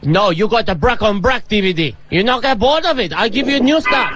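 A man's voice: sits high, lively intonation, brisk, a bit bright, highs cut off. A man in a radio prank call, voicing a stubborn, pushy male video shop owner with a put-on Chinese accent and broken English. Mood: indignant. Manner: broken English, indignant and bossy.

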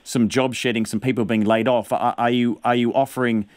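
A man's voice: medium pitch, level tone, brisk, smooth, no echo. A man in his thirties with a New Zealand accent, presenting the news and pressing an interviewee with probing questions in a radio studio. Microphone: studio mic on a boom.

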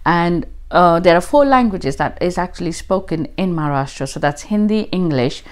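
A woman's voice: lowish voice, animated, moderate pace. A woman in her thirties from Mumbai with an Indian accent, answering interview questions in a chatty way with many fillers, recorded in a living room. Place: living room, seated on sofa by window.

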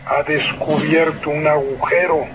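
A man's voice: a little high, level tone, measured pace, very noisy, close to an everyday voice. A man playing a stern, ominous voice of judgement in an old radio drama, solemn and declamatory. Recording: dull lo-fi old radio recording. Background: loud dramatic music.

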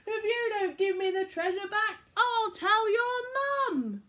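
A woman voicing a blustering, indignant grown-up male pirate captain from a children's storybook, loudly exclaiming. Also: extremely high pitch, animated, unhurried, pitched above the performer's own voice, exaggerated voice. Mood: angry threatening.

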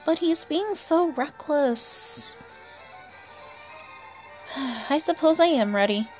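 A woman's voice: high voice, expressive, takes it slowly, a little mellow, soft background music, low-quality recording. A woman giving casual, chatty gameplay commentary as a player and channel host, often talking to herself and to the game's characters. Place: indoors, voice-over on gameplay with game music behind.

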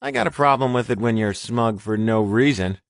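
A man's voice: medium pitch, lively intonation, moderate pace, no room sound, clean studio sound, pitched above the performer's own voice, lightly acted. A man voicing a small, anxious, educated young man in an audiobook, fretful and wordy. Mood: pouty. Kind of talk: audiobook character reading.

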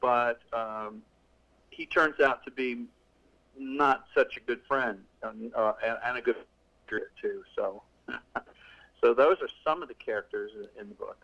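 A man's voice: mid-range voice, unhurried, gravelly, fairly bright tone, lo-fi sound. A middle-aged male author talking casually in an interview, rambling with many restarts and fillers. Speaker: author guest.

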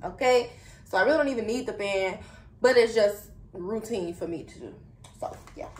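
A woman's voice: mid-range voice, normal speed. A woman chatting casually to viewers as a beauty vlogger, slangy, informal and candid in how she talks. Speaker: vlogger and wig seller. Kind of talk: casual beauty vlog chat. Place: indoor room. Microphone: phone camera at a distance. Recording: roomy, distant mic, faint noise.

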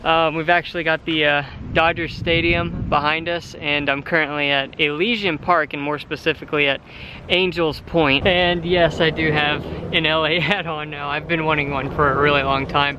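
A man's voice: fairly high pitch, highs cut off, background noise. A man in his thirties vlogging candidly to a handheld camera, rambling with many fillers, first from his car and then outdoors in a park. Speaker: vlogger and delivery driver. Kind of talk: casual self-filmed vlog talk. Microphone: phone or camera held at arm's length.

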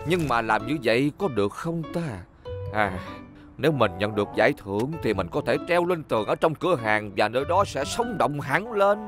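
A man's voice: mid-range voice, animated, normal speed, music in the background, close to an everyday voice. A man voicing a modest, fretful elderly shopkeeper in a children's cartoon dub. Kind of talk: animated children's dub acting.

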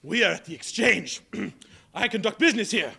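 Older miser on stage played by a man, fearful and earnest, high voice. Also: expressive, moderate pace, vocal fry, pushed and bright, exaggerated voice. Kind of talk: live stage play. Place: large hall with audience.

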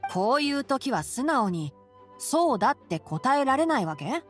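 A woman voicing a clever young male scholar in an anime game, measured and gently dry. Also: sits high, sing-song, faint music behind. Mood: dry, teasing. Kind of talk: Japanese anime game voice acting.

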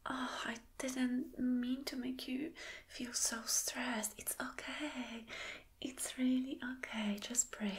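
A woman whispering softly in an ASMR roleplay as a flirty, attentive boss, gentle and reassuring. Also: sits high. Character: flirty, attentive female boss soothing an employee. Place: small dim room indoors.

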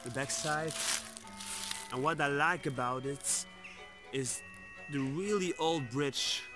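A man in his twenties talks to his viewers in a slangy, casual vlog style. His voice sits a little high and he takes his time between words. He is outdoors on a phone at arm's length, with music clearly playing underneath. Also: takes it slowly. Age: 20s. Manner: slangy, addresses viewers as bros. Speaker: urban exploration vlogger. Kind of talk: casual vlog chat to camera. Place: outdoors in woods by an abandoned building. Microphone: phone on selfie stick at arm's length.

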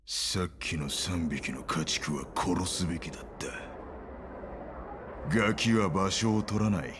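A man's voice: low voice, measured pace, background noise. A man voicing a hostile, callous villain's henchman in a Japanese video game. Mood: cold menace.

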